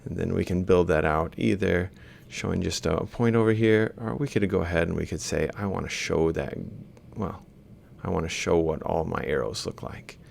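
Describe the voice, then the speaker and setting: Man, low gravelly voice, heavy fry, informal technical lecturer, step-by-step explaining, thinking aloud. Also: normal speed, hardly any pauses, a little mellow. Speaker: robotics lecturer. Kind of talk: informal worked-example lecture, explaining while drawing. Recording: faint background noise with low rumble.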